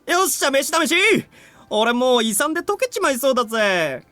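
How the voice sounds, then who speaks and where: Casting: a goofy anime game schoolboy, high-pitched, very fast, loud-mouthed and slangy. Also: male voice, sing-song, faint music behind, exaggerated voice. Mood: boisterous. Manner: slangy, boisterous, casual. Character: goofy hungry teenage schoolboy, loud and casual. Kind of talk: Japanese visual novel voice acting.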